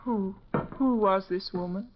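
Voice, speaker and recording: An actress plays a wary, frightened young woman in vintage radio horror. She speaks in earnest, fearful pleas at a middling pitch. The old recording is muffled and very noisy. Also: measured pace, lightly acted. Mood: grim, questioning. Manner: earnest, fearful, breathless pleading. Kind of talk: old-time radio drama acting.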